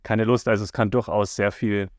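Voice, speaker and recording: A man talking calmly and at length on a casual podcast, giving advice. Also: fairly low pitch, dry recording, clean studio sound. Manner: calm, measured, explains at length with fillers. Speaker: podcast co-host.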